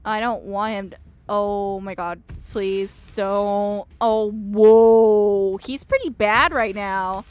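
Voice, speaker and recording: A woman streamer giving excitable, giggly, expressive commentary while playing a visual novel and reading it aloud. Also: mid-range voice, takes it slowly, smooth. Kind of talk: live game commentary, reading dialogue aloud.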